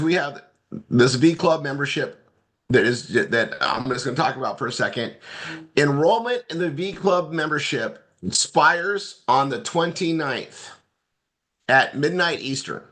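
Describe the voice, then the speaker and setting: A man who is hoarse today, running a live online marketing training with loose, repetitive, upbeat talk. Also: a little high, bright, forceful tone.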